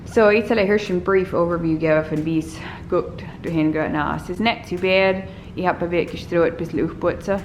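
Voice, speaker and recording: A woman in her thirties, a native Pennsylvania Dutch speaker, chats in a friendly way in a home vlog voiceover and laughs softly now and then. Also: on the low side, steady tone, fairly quick, hardly any pauses, a little hiss or hum. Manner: chatty, friendly, often laughs softly, mixes dialect and English. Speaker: vlogger, mother. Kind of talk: casual voiceover over cleaning footage. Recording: voiceover over clear background music.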